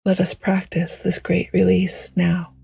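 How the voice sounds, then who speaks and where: A woman guiding a meditation in a gentle, hushed, poetic style, with a soft, breathy voice that often nears a whisper. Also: on the low side, monotone, soft background music. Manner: gentle, hushed, unhurried, soothing. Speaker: meditation guide. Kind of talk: slow poetic guided meditation narration.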